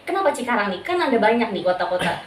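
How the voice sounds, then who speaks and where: A woman's voice: medium pitch, sing-song, fast. A young woman hosting a casual interview podcast, chatty and often repeating her guest's answers back to him. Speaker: podcast host. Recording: echoey room, distant mic, faint noise.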